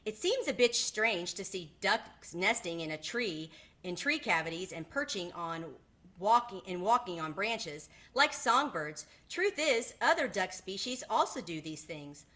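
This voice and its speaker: Bright-voiced woman reading aloud. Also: mid-range voice, big pitch swings, unhurried. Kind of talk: children's storybook read-aloud.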